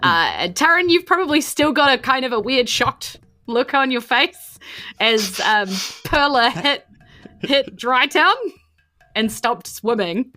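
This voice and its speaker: A woman's voice: sits high, lively intonation, a little slow, pushed and bright. A woman, casually narrating a tabletop game podcast as game master over music. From New Zealand.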